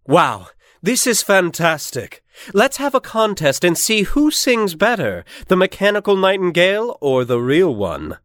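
A theatrical emperor from a children's fairy tale, acted by a man. He is sentimental and excitable, and his pitch leaps about. The sound is close and dry. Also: measured pace, hardly any pauses, dry recording, exaggerated voice. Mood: delighted.

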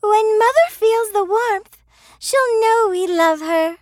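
A kind, excitable little girl for a children's fairy tale. The voice is pitched way up and leaps about with eager exclamations. It is recorded close and dry. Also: slow, no room sound, heavily acted. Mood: tender. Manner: bright-eyed, excitable, exclamatory. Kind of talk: children's storybook audio drama.

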